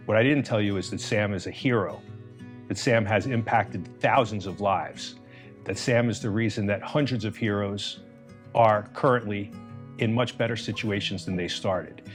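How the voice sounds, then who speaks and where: A man hosting an interview, introducing his guest deliberately and asking friendly questions. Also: on the low side, soft background music. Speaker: interview host.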